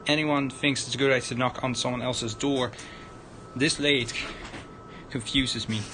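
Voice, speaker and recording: Male streamer, dramatic visual novel read-aloud, halting delivery, medium pitch, noisy recording with rumble. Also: fairly even intonation, sharp tone. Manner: halting, stumbling over written words. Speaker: streamer, narrator. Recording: noisy room with low rumble.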